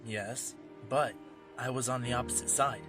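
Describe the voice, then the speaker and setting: A man's voice: mid-range voice, steady tone, normal speed, lightly acted. A man playing a weary drifting former soldier in an audio drama, wry and casual, with music behind him. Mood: defensive. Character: weary drifting male ex-soldier, teasing, regretful brother. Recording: background music under the voice.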